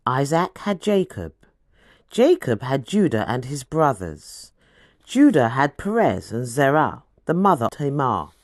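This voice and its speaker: A woman reading scripture as scripted audiobook narration, with a deliberate, dramatic storytelling delivery. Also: sits low, no echo, clean studio sound.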